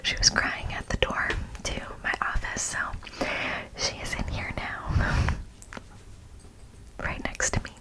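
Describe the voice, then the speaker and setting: A woman whispering as an ASMR host, softly reading from a script with casual asides. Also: slow pace, long pauses, poor audio. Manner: soft, unvoiced whispering.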